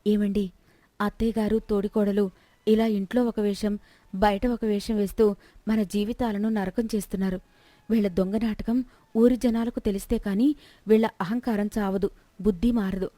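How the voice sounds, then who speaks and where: A woman's voice: mid-range voice, steady tone, moderate pace, mellow, dry recording, close to an everyday voice. A woman voicing a clever young daughter-in-law, earnest and firm, in a moral story. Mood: scheming, reassuring.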